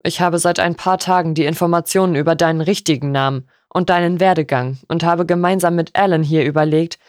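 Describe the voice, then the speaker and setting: A woman voicing a stern, stony older lord in an audiobook, formal, measured and sharp. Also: fairly low pitch, steady tone, no echo, clean studio sound, close to an everyday voice. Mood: grave.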